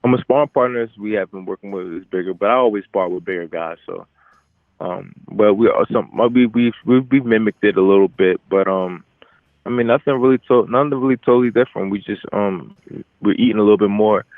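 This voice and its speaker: A young man, a boxer, giving casual, halting interview answers with repeated phrase starts over a telephone. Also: on the low side, dry recording. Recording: narrow, tinny phone line.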